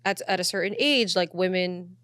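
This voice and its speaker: A woman's voice: lowish voice, normal speed, a bit bright, smooth, dry recording, studio quality. A woman hosting a podcast, chatting casually and frankly, with plenty of filler words like "you know". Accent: Filipino. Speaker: podcast host. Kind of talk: casual opinionated podcast chat.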